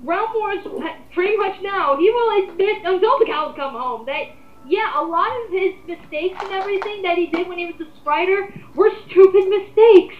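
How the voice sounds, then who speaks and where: A woman's voice: extremely high pitch, normal speed, slight background noise. A woman on a casual group rant, talking in an exasperated, emphatic way with plenty of swearing. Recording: muffled, echoey amateur voice recording.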